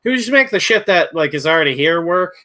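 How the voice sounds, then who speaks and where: A man's voice: fairly high pitch, lively intonation, a little fast, sharp tone. A man co-hosting a livestream over a video call, talking casually and bluntly with frequent swearing. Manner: profane, blunt. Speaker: podcast co-host.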